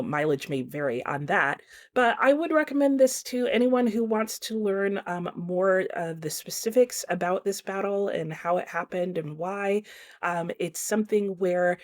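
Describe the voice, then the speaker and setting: A middle-aged woman giving a casual book review in a reflective, rambling way, often correcting herself. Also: fairly low pitch.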